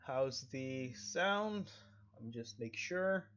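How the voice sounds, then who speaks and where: A man's voice: medium pitch, animated, takes it slowly, fairly bright tone, highs cut off. A man giving casual, rambling livestream commentary on a game, often correcting himself as he talks into a headset microphone. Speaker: game streamer.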